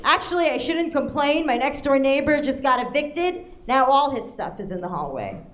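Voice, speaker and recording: A woman's voice: high voice, lively intonation, moderate pace. A woman performing a stand-up comedy set, chatty and often checking in with the audience. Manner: chatty, asks the crowd "right?".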